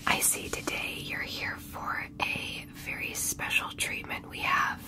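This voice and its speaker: A woman whispering softly through a nurse roleplay, gentle and reassuring, checking for permission and calling the listener darling. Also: unhurried. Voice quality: soft whisper. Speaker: ASMR creator playing a nurse. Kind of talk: whispered ASMR medical roleplay.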